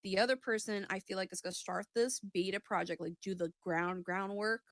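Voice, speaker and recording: A woman's voice: mid-range voice, steady tone, fairly quick, no echo, studio quality. A woman giving a casual intuitive reading in conversation, speaking tentatively and often rephrasing herself as she goes. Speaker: psychic reader on a talk show.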